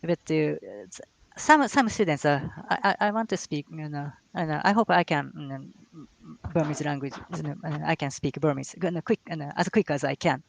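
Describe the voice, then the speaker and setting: A man's voice: on the high side, moderate pace, vocal fry, dry recording, low-quality recording. Hesitant man explaining over a dry call. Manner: hesitant, many fillers and restarts, emphatic on key words. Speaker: guest language scholar. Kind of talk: live online talk with Q&A. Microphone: video call.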